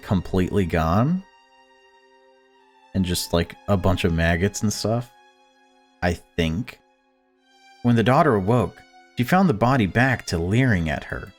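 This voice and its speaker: A man reading a visual novel aloud as a let's player, breaking off into casual commentary. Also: on the low side.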